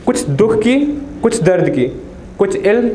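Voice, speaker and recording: A teenage man from India reciting poems at an open mic, declaiming each line and dropping low on a repeated refrain. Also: expressive, unhurried, mellow. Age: teens. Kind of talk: reciting Hindi poetry. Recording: echoey room with faint noise.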